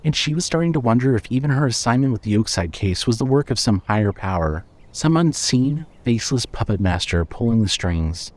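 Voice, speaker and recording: A man's voice: medium pitch, lively intonation, fairly quick, hardly any pauses, a little mellow. A man reading scripted narration for a horror fiction audio drama.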